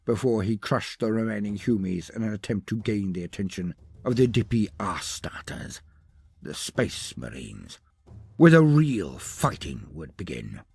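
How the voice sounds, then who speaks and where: A man with a lowish, raspy voice narrates a fantasy tale with theatrical emphasis. His pitch rises and falls dramatically across short, weighted phrases. The sound is close and dry. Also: very animated, measured pace, a little mellow, no echo. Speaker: storyteller narrator.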